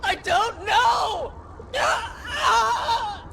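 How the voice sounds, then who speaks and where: A man's voice: very high voice, animated, extremely slow, gravelly, shouting, noisy background, full character voice. A man playing a terrified alien captive in a video game, crying out and pleading in pain. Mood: agonized terror. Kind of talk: video game cutscene acting.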